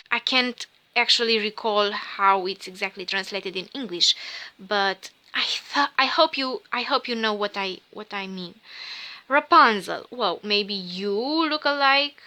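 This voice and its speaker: Slow, bright-voiced Romanian woman. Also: mid-range voice. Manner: slow, musing, dreamy. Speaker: tarot reader. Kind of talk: rune reading.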